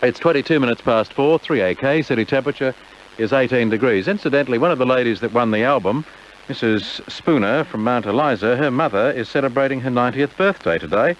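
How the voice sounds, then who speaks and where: A man's voice: medium pitch, quick pace. A man presenting an easygoing music programme on the radio, with greetings to listeners. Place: radio studio. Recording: dull old radio tape, faint noise.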